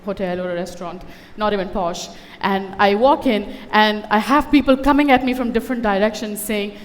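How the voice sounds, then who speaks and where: A woman's voice: medium pitch, fairly even intonation, fairly quick, in a large hall. A woman who grew up in Dubai, giving a witty, conversational personal talk on a conference stage with wry asides. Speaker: conference speaker. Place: conference stage before an audience.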